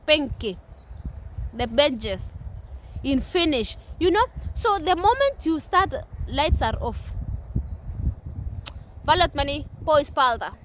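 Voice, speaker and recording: High-voiced Kenyan woman vlogging. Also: very animated, slow pace, pauses often, a bit bright, a little hiss or hum, poor audio. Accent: Kenyan English. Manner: repetitive, earnest, many restarts. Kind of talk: casual talk to camera. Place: outdoors at a playground.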